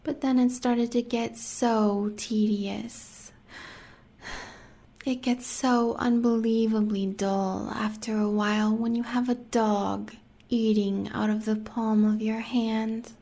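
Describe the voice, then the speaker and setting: A bloodthirsty female werewolf huntress with an American accent , played by a voice actress. She talks slowly in a fairly high, breathy murmur, playful and adoring yet sadistic, in intimate audio drama style. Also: level tone, warm tone. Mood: bored, then playful. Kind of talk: audio roleplay acting.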